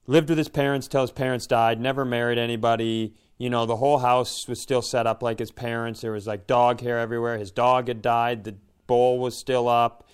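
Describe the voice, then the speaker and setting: A man co-hosting a casual chat, thinking aloud in a halting, reflective way and often breaking off to rephrase. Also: medium pitch, clean tone. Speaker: podcast co-host.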